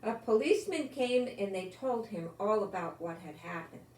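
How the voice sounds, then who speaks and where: An older woman reading a children's picture book aloud, expressively, with gentle exclamations and asides to young listeners. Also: mid-range voice, animated, normal speed. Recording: echoey room with some background noise.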